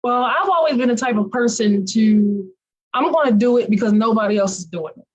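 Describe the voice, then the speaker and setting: A woman's voice: fairly high pitch, animated, brisk. A woman answering conversationally as an interview guest, chatty and emphatic about her points. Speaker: talk show guest, actress.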